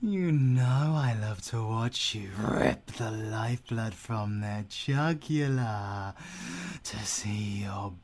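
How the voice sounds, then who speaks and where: A British man playing a suave, possessive werewolf villain in an audio roleplay, grandiose and mock-gentlemanly, moving between hushed murmurs and cries. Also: fairly low pitch, slow pace, a little hiss or hum. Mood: enraptured, doting.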